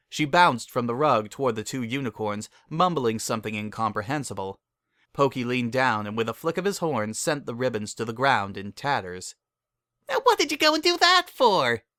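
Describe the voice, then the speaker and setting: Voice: medium pitch, fairly bright tone, no echo, studio quality. A narrator giving a scripted dramatic reading of a story.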